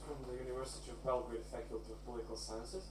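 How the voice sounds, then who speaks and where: A man's voice: medium pitch, steady tone, racing. Distant, echoey man from Serbia asking a question. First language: not English. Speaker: audience questioner at academic round table. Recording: distant, echoey room with hum.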